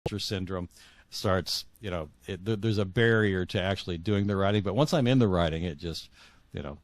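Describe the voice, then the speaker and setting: Deep-voiced man, halting and reflective. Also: no echo. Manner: halting, repeats words while searching for phrasing. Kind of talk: reflective interview conversation.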